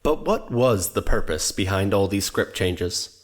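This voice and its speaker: Theatrical, animated, deep-voiced documentary narrator. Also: male voice. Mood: grave, rhetorical. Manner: formal, measured, dramatic emphasis. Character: theatrical, wry male documentary host narrating with mock gravity. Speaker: narrator and presenter. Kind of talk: scripted narration, true-crime documentary parody.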